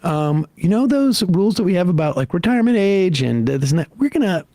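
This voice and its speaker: Older american guy hosting a political talk show, wry and halting, pitch jumps around a lot, dry close sound. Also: sing-song, mellow, no echo. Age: late 50s or older. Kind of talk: casual political commentary.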